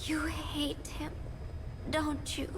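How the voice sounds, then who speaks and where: An actress playing a desperate, frightened woman in a video game, pleading haltingly. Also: high-pitched, little pitch movement, background noise, full character voice. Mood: tense probing. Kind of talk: dramatic video game cutscene acting.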